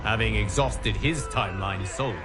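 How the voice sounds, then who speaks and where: A man playing a solemn, formal god who guards the timelines, narrating a game cutscene in a grave, deliberate way. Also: lowish voice, music in the background, lightly acted. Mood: grave warning. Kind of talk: scripted dramatic game narration.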